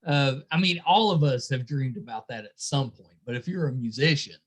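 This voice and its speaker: A man's voice: mid-range voice, moderate pace. A man hosting a casual interview, chatting as he asks questions. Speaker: podcast host interviewing a band.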